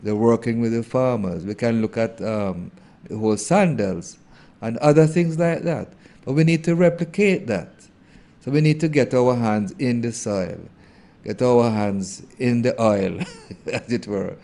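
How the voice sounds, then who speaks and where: A man, a theologian answering an interview question in a thoughtful, halting way with many fillers. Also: medium pitch, gravelly, dark tone. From Jamaica.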